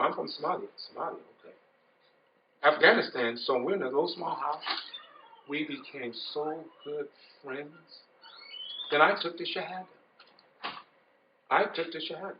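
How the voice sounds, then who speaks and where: A man's voice: fairly high pitch, a little hiss or hum. A middle-aged man giving an earnest, repetitive informal talk to an audience of young people, growing emphatic. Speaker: speaker addressing young Muslims. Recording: echoey room, distant mic, dull sound.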